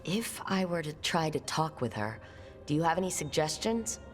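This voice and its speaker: Wry female adventurer, low voice, casual direct delivery, questioning, fantasy game dialogue, music underneath. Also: very animated, moderate pace. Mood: inquiring. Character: plainspoken heroic woman adventurer, questioning and wry. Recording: studio voice over game music. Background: background music.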